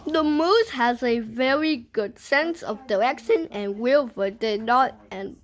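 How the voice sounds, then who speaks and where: A woman reading a children's picture book aloud in a deliberate way, pausing between short phrases. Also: on the high side, animated. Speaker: storybook reader.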